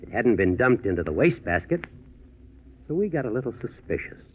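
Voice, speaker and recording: An American actor playing a methodical, shrewd district attorney in a 1940s radio drama, probing in a deceptively casual way, on a tinny old recording. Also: male voice, medium pitch, sing-song, a little fast, a little hiss or hum, natural voice. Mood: shrewd, pointed.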